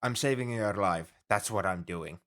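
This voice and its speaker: A man's voice: fairly low pitch, moderate pace, a bit bright, lightly acted. A man playing an anxious, overprotective alien crewmate in an audio roleplay, speaking formally and earnestly in a fretful, easily flustered way. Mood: nervous, determined. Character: anxious, paranoid male alien crewmate fussing over human. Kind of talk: ASMR roleplay audio drama.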